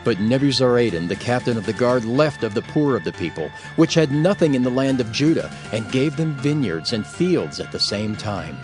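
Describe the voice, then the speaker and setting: A man's voice: mid-range voice, very animated, moderate pace, hardly any pauses, over music. A man narrating scripture from a script as an audio Bible reader, formal and solemn.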